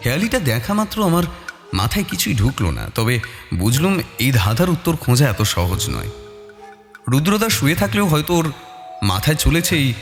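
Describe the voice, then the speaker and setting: A man narrating a detective story in the first person with expressive, dramatic delivery. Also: on the high side, moderate pace. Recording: long reverb, music bed. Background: soft music.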